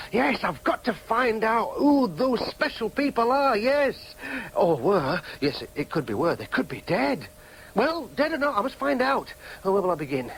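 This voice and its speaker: A man's voice: on the high side, a little hiss or hum, close to an everyday voice, low-quality recording. An actor playing an anxious, earnest young Yorkshireman in a radio drama, hesitant yet insistent in his questioning. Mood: anxious musing. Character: anxious, earnest young man searching for his birth parents. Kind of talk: radio drama acting, soliloquy and dialogue.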